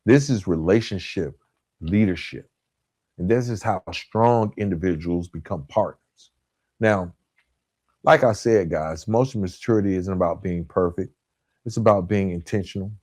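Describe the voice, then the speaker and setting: A man hosting a motivational podcast, speaking earnestly and emphatically in short, deliberate phrases with repetition. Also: low-pitched, a little mellow. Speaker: podcast host. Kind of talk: motivational self-improvement podcast monologue.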